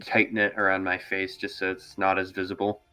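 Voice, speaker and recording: A man's voice: low voice, completely flat delivery, fairly quick, sharp tone, clean tone, soft background music, low-quality recording. A man playing in a tabletop game, casually improvising in character with hesitant, repeated phrases.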